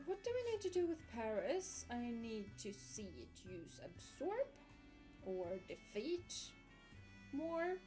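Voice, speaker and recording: Chatty woman muttering through a game stream. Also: on the high side. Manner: chatty, muttering asides to herself. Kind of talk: casual gameplay commentary.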